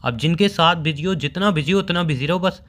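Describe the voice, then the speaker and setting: A man's voice: fairly high pitch, a little fast, a bit bright, lightly acted. A young man playing a hurt, sulky boyfriend in an everyday phone-call drama, sarcastic and reproachful. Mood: accusing, frustrated.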